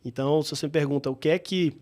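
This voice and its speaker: A man, a guest entrepreneur telling his story thoughtfully in an interview, pausing and restarting as he thinks. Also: fairly high pitch, fairly even intonation, a little mellow. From Bahia, Brazil. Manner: reflective, with frequent hesitations and restarts.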